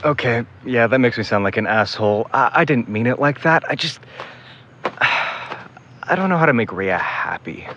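A man's voice: medium pitch, animated, normal speed, sharp tone, dull audio, a little hiss or hum. A man playing an irritable, sarcastic young older brother in a naturalistic audio drama. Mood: grumbling, conflicted. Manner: sarcastic, grumbling.

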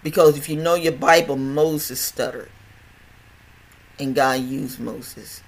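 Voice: medium pitch, slow pace. An older podcast host with a stutter, talking in a chatty, rambling way with many restarts and repeated words. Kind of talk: casual health podcast monologue.

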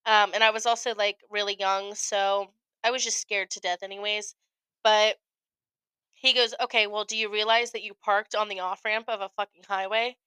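Bright-voiced young American woman telling stories. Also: mid-range voice, moderate pace, smooth, no room sound. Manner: animated retelling with acted-out quotes, frequent profanity. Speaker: podcast co-host. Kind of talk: casual chat, storytelling.

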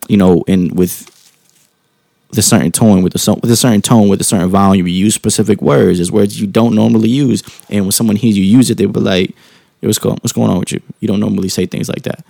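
Low, gravelly, soft-spoken man, calm and close. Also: no room sound. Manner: calm, informal slang, halting rephrasing with frequent tag questions. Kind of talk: casual reflective monologue.

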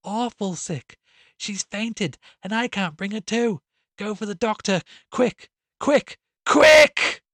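A man voicing a sharp, anxious country woman who speaks in an emphatic rustic way in a storybook reading. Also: mid-range voice, brisk, dry recording, studio quality, close to an everyday voice. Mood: distressed, urgent. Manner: emphatic, rustic colloquial speech.

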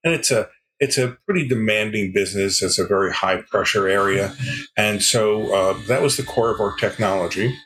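An older man answering interview questions as a guest, explaining in a measured way with pauses between phrases. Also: on the low side, a crowd in the background, poor audio. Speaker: podcast guest, sales executive.

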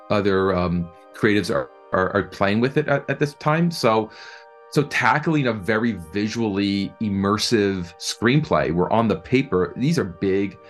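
A man answering interview questions as a producer, conversational and enthusiastic, often repeating words as he thinks. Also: on the low side. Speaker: film and audio drama producer being interviewed.

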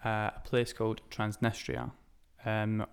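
A man hosting a podcast in a casual, informal chat, often halting and rephrasing himself as he goes. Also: lowish voice, completely flat delivery, clear, resonant tone. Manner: informal, halting, self-correcting. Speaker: podcast host.

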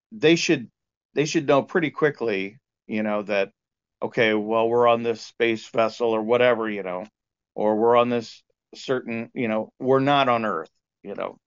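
A man being interviewed as a sound engineer, explaining in a conversational, halting way with lots of "you know". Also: medium pitch, smooth. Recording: dull, lo-fi band-limited sound.

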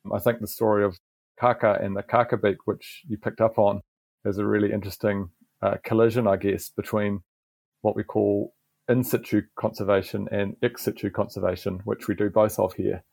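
A middle-aged man with a New Zealand accent, a garden manager, explaining in a relaxed podcast interview with frequent restarts. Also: lowish voice, moderate pace, gravelly, no echo.

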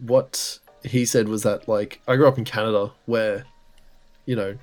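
A man's voice: on the low side, fairly even intonation, measured pace, darkish tone. Jokey young man chatting loosely. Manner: laughs easily, jokey, hesitant restarts. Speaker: podcast co-host. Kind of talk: casual chat.

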